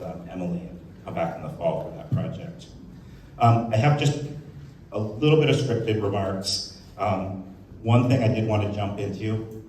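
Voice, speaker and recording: A man giving opening remarks to the public as a museum staff speaker, speaking in an informal to measured way. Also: sits low, a little mellow. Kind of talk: public speech, part off-the-cuff, part scripted. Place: indoors in a museum. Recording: very echoey room, distant mic, faint noise.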